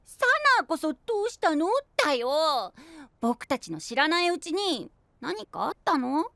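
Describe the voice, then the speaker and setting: 甘く可愛い少年. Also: male voice, very high voice, sing-song, moderate pace, vocal fry, sharp tone, no echo, full character voice. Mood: playful. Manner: bubbly, sweet, boyish. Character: cute cheerful young boy, playful companion. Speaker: voice actor. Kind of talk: anime voice drama.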